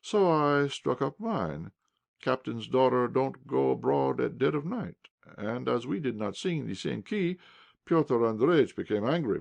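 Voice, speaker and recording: A man's voice: mid-range voice, vocal fry, a little mellow, voice pushed up, exaggerated voice. A man voicing an insolent young officer who speaks coolly and with a sneer. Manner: cool, sneering.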